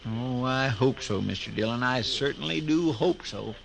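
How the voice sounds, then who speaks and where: An actor playing a loyal, deferential sidekick to a frontier marshal, with a folksy in a 1950s radio drama. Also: male voice, medium pitch, moderate pace, creaky, close to an everyday voice, poor audio. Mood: earnest. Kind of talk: 1950s radio western acting. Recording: vintage radio recording, crowd murmur behind.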